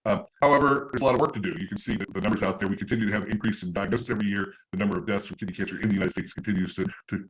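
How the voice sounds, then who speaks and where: A man giving an informal slide presentation as an advocacy speaker, often halting and rephrasing as he goes. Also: fairly low pitch, fairly even intonation, rough recording. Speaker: patient advocacy organization speaker.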